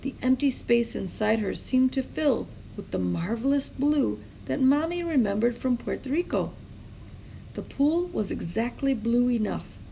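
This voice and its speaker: Very creaky-voiced woman reading a children's story. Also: a little high, animated, a little slow, dark tone, slight background noise, poor audio. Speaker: storybook reader. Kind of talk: expressive children's story read-aloud.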